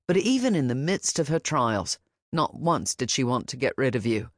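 A woman voicing a gentle, protective older man who speaks softly and calmly, with a firm edge. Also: mid-range voice, normal speed, no room sound, clean studio sound, close to an everyday voice. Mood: gentle, fatherly. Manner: soft, calm and fatherly.

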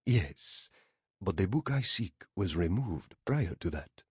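Man voicing a husky, courteous Egyptian gentleman. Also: sits low, sing-song, dry recording, pitched below the performer's own voice, exaggerated voice. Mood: polite, insistent. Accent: Egyptian Arabic. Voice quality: rich, lilting, husky. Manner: formal, measured, polite. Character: courteous, cultured Egyptian male book seeker.

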